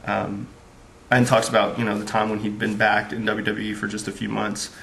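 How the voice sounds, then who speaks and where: Male reviewer, casual and rambling, flat monotone delivery, faint hiss behind. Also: on the low side, moderate pace, vocal fry, low-quality recording. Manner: rambling, heavy with fillers. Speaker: book reviewer. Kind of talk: casual spoken book review.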